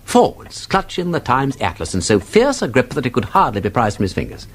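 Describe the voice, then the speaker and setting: An actor playing a witty, inquisitive gentleman sleuth in a radio drama, with an upper-class English accent and an urbane, argumentative, theatrical manner. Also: medium pitch, huge pitch swings, brisk, slight background noise. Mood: playful probing. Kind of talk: British radio drama acting.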